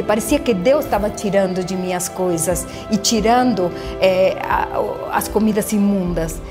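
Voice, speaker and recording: A middle-aged woman from Argentina with an Argentine Spanish accent, whose native language is Spanish, telling her personal faith story in an earnest, halting way with restarts and repeated words. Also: fairly high pitch. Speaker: church member giving testimony. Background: soft background music.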